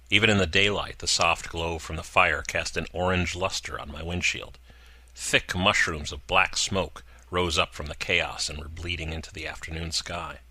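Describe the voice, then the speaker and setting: Deep, forceful man reading horror. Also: dry recording. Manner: slow, deliberate, dramatic pauses. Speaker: narrator.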